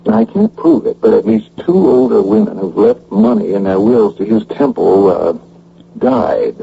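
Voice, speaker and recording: An actor playing a streetwise, wisecracking police detective in a radio drama, with colloquial talk. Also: male voice, on the low side, wildly swooping pitch, measured pace, creaky, mellow, slightly rough, heavily acted. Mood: earnest, scheming. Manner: colloquial, hesitant then cocky. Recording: dull, humming old radio broadcast recording.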